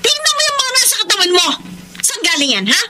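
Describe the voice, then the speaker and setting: An actress with a Filipino accent playing a suspicious, hot-tempered, nagging wife in a radio drama. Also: female voice, very high voice, big pitch swings, fast. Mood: accusing. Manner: nagging, accusatory, interrogating. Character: suspicious, hot-tempered young wife confronting cheating husband.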